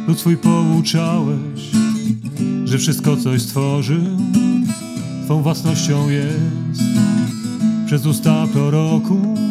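A woman singing a slow, prayerful devotional song with acoustic guitar accompaniment. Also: sits low. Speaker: singer. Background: loud plucked acoustic guitar music.

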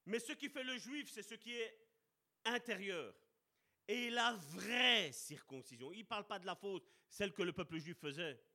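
A man preaching a sermon with passion, slowing down to stress key words and calling out to his brothers and sisters. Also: high voice, lively intonation, bright, forceful tone. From Italian heritage. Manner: impassioned, addresses congregation as brother and sister.

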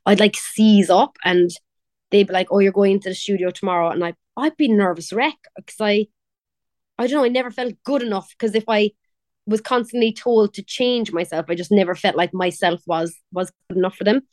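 Young woman, Cork Irish accent, chatty and laughing, mid pitch, dry close sound. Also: fairly quick, a little mellow, no room sound. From Ireland. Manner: chatty, laughing, quoting others in voices. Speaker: pop singer, guest. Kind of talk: casual interview chat.

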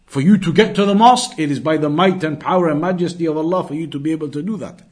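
A male religious teacher lectures in a high voice at a quick pace. He is emphatic and instructive, quoting scripture and explaining it, and he repeats key phrases to drive the lesson home. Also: darkish tone.

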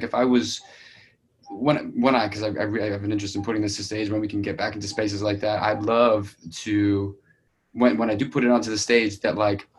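A man with a fairly low voice talks through his ideas as a playwright. He is halting and conversational, feeling his way through each thought in an informal discussion. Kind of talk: casual discussion, feedback session.

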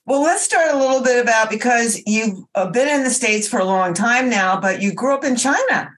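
A woman's voice: on the high side, animated, normal speed, fairly bright tone. A woman hosting an interview, asking a guest encouraging questions about his past. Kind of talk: interview conversation.

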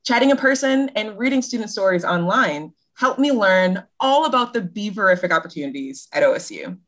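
A woman's voice: medium pitch, animated, fairly quick, fairly bright tone. A woman co-hosting a university admissions podcast, enthusiastic and bubbly. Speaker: podcast co-host, admissions advisor. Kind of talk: casual podcast chat.

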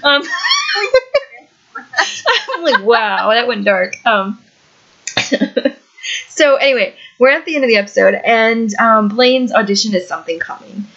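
A woman's voice: on the high side, huge pitch swings, slow pace, pauses often, a bit bright, highs cut off. A woman with a Midwestern US accent and slight Canadian touches, co-hosting a podcast in casual chat, hesitant and laughing often. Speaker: podcast co-host.

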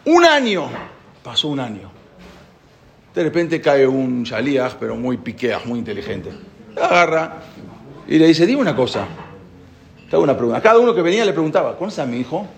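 A man's voice: on the high side, fairly quick, vocal fry, pauses often. A man giving a spoken Torah class, asking his listeners questions and telling a parable. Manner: animated storyteller, asks the listeners questions.

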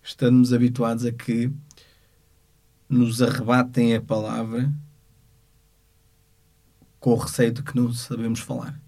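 A man with a European Portuguese accent gives an unscripted account in the voice of a working lawyer. His mid-pitched voice stays quite flat, and he moves forward haltingly, measuring and searching for each phrase. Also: vocal fry. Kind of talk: unscripted spoken account of a court case.

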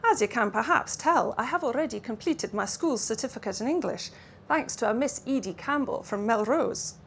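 A woman voicing a cheeky young Russian man, wry and playful, storybook dialogue, slight fry. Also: a little high, normal speed, slight background noise, natural voice. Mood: teasing. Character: teasing young Russian man, playful, with a Scots-tinged accent.

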